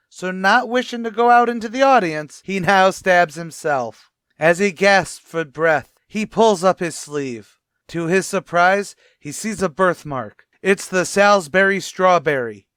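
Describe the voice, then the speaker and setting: A man's voice: high voice, fairly bright tone, smooth, dry recording, studio quality. A man playing a boastful, wisecracking tavern manager in an old-time radio comedy, with a pompous, blustery delivery.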